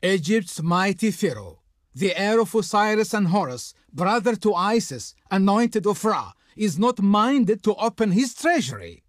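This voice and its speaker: Casting: haughty ancient Egyptian court envoy in a strategy game; high voice, swooping delivery, flowery and pompous. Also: male voice, big pitch swings, a little slow, no echo, studio quality. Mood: haughty, dismissive. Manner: pompous, declamatory. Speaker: game voice actor playing a diplomat. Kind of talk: theatrical scripted game dialogue.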